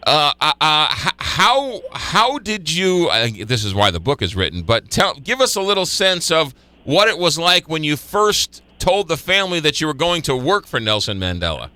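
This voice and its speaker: A man's voice: a little high, wildly swooping pitch, normal speed, few pauses, bright, forceful tone, smooth. A man hosting a radio interview in a studio, stumbling and restarting his questions. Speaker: radio host.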